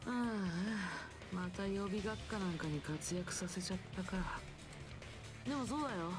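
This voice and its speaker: A woman's voice: mid-range voice, a little fast, natural voice. A woman voicing a cryptic young male student in a Japanese video game, explaining things in a casual, teasing way. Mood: playful, explaining. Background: game music.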